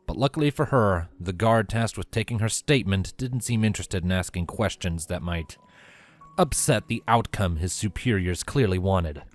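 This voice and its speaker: A man's voice: deep voice, moderate pace, faint music behind. A man reading scripted story narration as the narrator of a fan fiction.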